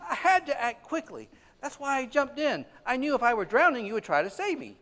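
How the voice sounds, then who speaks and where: An actor playing a gentle, fussy elderly male angel in a live radio play on a theater stage, with a chirpy, whimsical manner. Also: very high-pitched, big pitch swings, fairly quick, big-room sound, full character voice. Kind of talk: 1940s radio acting on stage.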